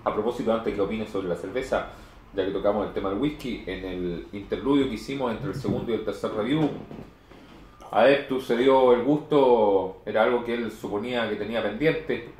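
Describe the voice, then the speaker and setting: A man's voice: fairly low pitch, a little fast, darkish tone. A man in his forties reviewing beer in casual chat, explaining at length with many fillers, recorded from a camera across a home room. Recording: echoey room with faint noise.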